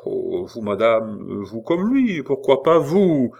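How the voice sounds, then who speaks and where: A man's voice: mid-range voice, big pitch swings, takes it slowly, creaky, darkish tone, muffled recording, heavily acted. A man voicing a kindly, hesitant concierge in an audiobook, hemming as he soothes. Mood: hesitant soothing.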